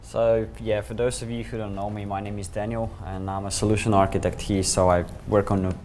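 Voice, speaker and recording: A man's voice: lowish voice, little pitch movement, fairly quick, a little mellow, a little hiss or hum. A man from Slovenia with a Slovenian accent, giving a conference talk as a solution architect and telling the story with chuckles and fillers. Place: meeting room with audience.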